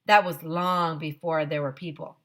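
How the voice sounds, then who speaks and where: A woman's voice: sits low, fairly even intonation, normal speed, fairly bright tone. A woman voicing a bossy, know-it-all young schoolgirl in a storybook, scolding and emphatic.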